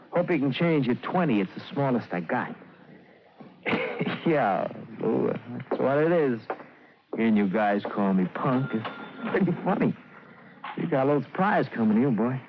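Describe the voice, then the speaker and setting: Swingy-voiced boastful American small-time punk. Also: male voice, medium pitch, sing-song, measured pace. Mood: stung, defiant. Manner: eager, hesitant, punctuating talk with nervous laughs and questioning tags. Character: boastful small-time young male punk craving respect. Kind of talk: 1950s American radio drama acting. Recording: dull, faintly noisy 1950s radio recording.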